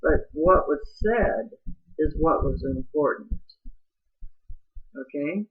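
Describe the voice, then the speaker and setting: A middle-aged woman with a low, rough-sounding voice, telling her life story in an earnest, confiding way and speaking straight to the listener. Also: expressive, slow pace. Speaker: vlogger. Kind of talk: personal life story monologue. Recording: tinny phone-like audio.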